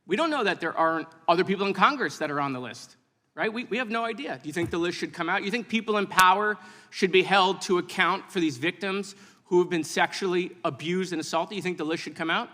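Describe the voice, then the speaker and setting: A man's voice: high-pitched, quick pace, bright, forceful tone. A male lawmaker cross-examining a witness in an insistent, repetitive, combative way. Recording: reverberant hearing room.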